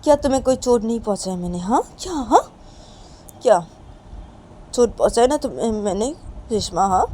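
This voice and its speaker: A woman's voice: a little high, animated, normal speed, long pauses, warm tone, a little hiss or hum, pitched above the performer's own voice, natural voice. A woman voicing a stern, guilt-ridden young woman with a short temper, repeating terse warnings in an improvised dramatic story. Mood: guilty, confronting. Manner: terse, insistent, repetitive warnings.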